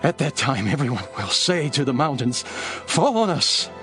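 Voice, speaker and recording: An actor playing a calm, sorrowful condemned teacher who speaks in a grave, measured way in a radio drama. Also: male voice, medium pitch, faint music behind. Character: calm, sorrowful male teacher facing death.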